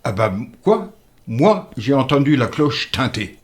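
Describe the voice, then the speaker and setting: An older man, a native dialect speaker, telling village history in a radio interview in an earnest, measured way. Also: medium pitch, sing-song. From Moselle, France. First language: Alsatian-Lorraine Franconian dialect. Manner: earnest, measured storyteller with halting restarts. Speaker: interview guest. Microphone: studio microphone.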